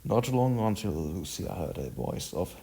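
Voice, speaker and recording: A man narrating a scripted story in a halting way, stumbling over words. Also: sits low, sing-song, dark tone.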